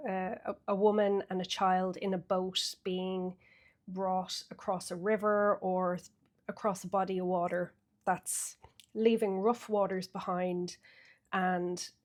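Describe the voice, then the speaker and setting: An Irish woman getting over a cold and a bit sniffly, chatting casually through a weekly tarot reading with many fillers. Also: mid-range voice. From Ireland. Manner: chatty, thinks aloud with fillers. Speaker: tarot reader.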